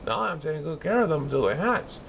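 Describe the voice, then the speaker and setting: A man voicing an excitable, childlike cartoon sea sponge, eagerly reading a children's storybook aloud and stumbling over words. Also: a little high, fast, gravelly, a little hiss or hum. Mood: bubbly storytelling.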